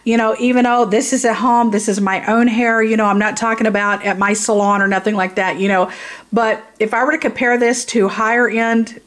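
A woman's voice: a little high, fairly even intonation, a little fast. A tired woman giving a blunt, casual product review, rambling and repeating herself for emphasis. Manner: blunt, informal.

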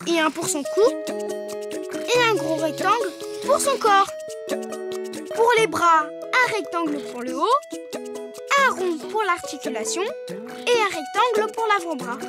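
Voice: very high-pitched, animated, takes it slowly, pauses often, exaggerated voice. An actor voicing a cheerful, helpful young rabbit who explains drawing step by step in a childlike way for a preschool cartoon. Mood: instructive. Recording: music under the voice.

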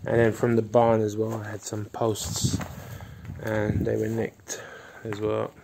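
A man's voice: on the low side, monotone, unhurried, creaky, background noise. A man in his thirties walking around a rural property, filming on a phone and chatting casually as he points things out. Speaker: vlogger giving a property tour. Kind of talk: casual walkthrough narration. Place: outdoors on rural land, then inside a farmhouse. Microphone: phone camera held at arm's length.

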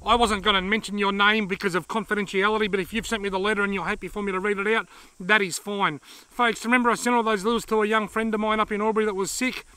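An Australian man in his forties, chatting casually to camera outdoors as a vlog host, friendly and quick to laugh. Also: high voice, steady tone, pushed and bright, clear, resonant tone, dry recording, poor audio. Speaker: fishing channel host. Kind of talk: casual vlog reading viewer mail. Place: outdoors in dry open woodland. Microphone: camera close up at arm's length.

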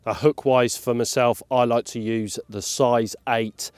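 A man's voice: mid-range voice, fairly even intonation, unhurried, a little mellow, no echo. A middle-aged man explaining fishing tactics to camera outdoors in an easygoing, enthusiastic, conversational way. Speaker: carp fishing presenter. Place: outdoors by a lake, beside a bivvy tent. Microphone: clip-on lapel mic with windshield.

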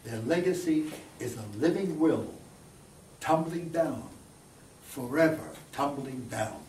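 Slow older man reciting poetry, roomy sound. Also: a little high, lively intonation. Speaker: poetry reader. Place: small meeting room, indoors. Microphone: lectern mic, filmed by distant camera. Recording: roomy, distant mic, background noise.

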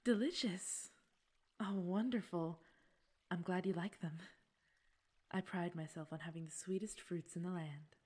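A woman playing a gentle, coaxing fey queen with secret possessiveness in an ASMR roleplay, speaking breathily and formally, often near a whisper. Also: lowish voice, fairly even intonation. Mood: sweet, gracious. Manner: slow, soothing, slightly formal speech. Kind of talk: ASMR roleplay audio drama.

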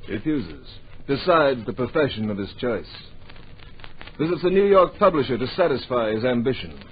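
An actor playing a dramatic, authoritative narrator in an old radio drama, telling the story in clipped present tense. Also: male voice, mid-range voice, big pitch swings, moderate pace, natural voice. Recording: muffled, noisy old radio recording.

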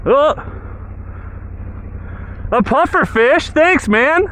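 A man's voice: very high-pitched, drawn-out words. A man talking through his motorcycle ride outdoors, exclaiming and laughing as he goes, on a helmet mic. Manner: excited, exclaiming, laughing often. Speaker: motorcycle vlogger. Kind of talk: riding commentary vlog. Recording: noisy outdoor riding audio.

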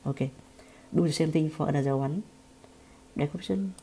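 A woman speaking English with a Vietnamese accent guides a screen-recorded software lesson. Her voice sits low and sounds soft and mellow. She talks in a halting way, often repeating words and restarting her sentences. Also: husky. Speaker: software tutorial presenter.

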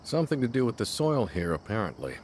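A man voicing a weary, remorseful former director turned clone in a video game, speaking in a grave, reflective, measured way. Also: sing-song, heavy background noise. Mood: musing, gentle. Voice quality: low, measured. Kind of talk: dramatic video game voice acting.

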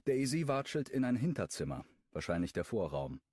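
A man narrating a first-person romance audiobook in a wry, expressive way, on a close studio microphone. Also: mid-range voice, moderate pace, slightly rough, dry recording.

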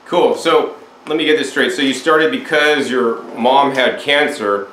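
A man's voice: mid-range voice, a little slow, sharp tone, a little hiss or hum. Talkative male host in an echoey room. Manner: talkative, explains at length. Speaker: interviewer, show host. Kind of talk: casual interview. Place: home room with window, indoors. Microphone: lapel mic.

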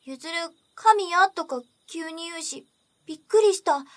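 A woman's voice: very high-pitched, normal speed. A woman voicing an innocent, earnest little sister in an anime-style voice drama. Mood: quiet, thoughtful.

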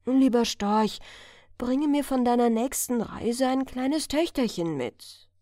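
Gentle aging storybook woman, voiced by a female reader, polite, pleading, slow, melodic. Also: a little high, lively intonation, no room sound, clean studio sound. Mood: wistful, pleading. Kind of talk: fairy-tale storybook reading.

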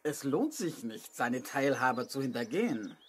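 A man's voice: medium pitch, sing-song, a little slow, slightly rough. An actor playing a jeering, gleefully threatening gangster henchman in a 1970s children's radio play. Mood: taunting, threatening.

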